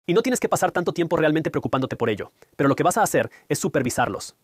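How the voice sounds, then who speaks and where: A man's voice: fairly high pitch, very animated, quick pace, slightly rough, dry recording, studio quality. Man giving a direct finance lesson. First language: Punjabi. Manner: direct, explanatory, addresses viewer. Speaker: finance educator. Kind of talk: whiteboard finance lesson, dubbed voice-over.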